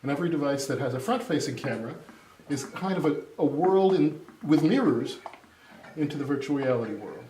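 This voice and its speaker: A man's voice: a little high, lively intonation, brisk, darkish tone. A man giving an informal live product demonstration, exclaiming and drifting into distracted asides. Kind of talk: informal live tech demo. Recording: echoey, noisy room, distant mic, clattering.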